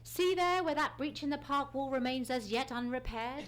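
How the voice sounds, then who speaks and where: A woman's voice: high voice, normal speed, a bit bright. A woman playing a keen, commanding male detective in a live old-time radio mystery, speaking precisely and theatrically. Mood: brisk, commanding. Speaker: radio play actor. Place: theatre stage. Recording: noisy live theatre stage recording.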